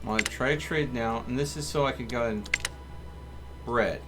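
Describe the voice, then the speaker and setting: A man's voice: mid-range voice, normal speed. A man giving casual live gameplay commentary as a streamer, muttering to himself and reading game text with some drama. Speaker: gaming streamer. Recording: music behind the voice. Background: game music.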